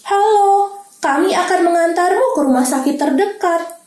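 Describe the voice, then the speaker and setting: A brave young ambulance girl from a storybook, her voice pitched high and eager, as a woman reading aloud puts her on. She speaks with urgent care for the hurt, always in a hurry to help. The sound bounces in a roomy space. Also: moderate pace. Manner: urgent, bright and caring. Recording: roomy echo.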